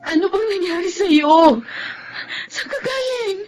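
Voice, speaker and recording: A woman's voice: extremely high pitch, expressive, slow, darkish tone, soft background music, heavily acted. A woman acting a devout, emotional wife longing for her husband in a Tagalog radio drama. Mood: anxious questioning.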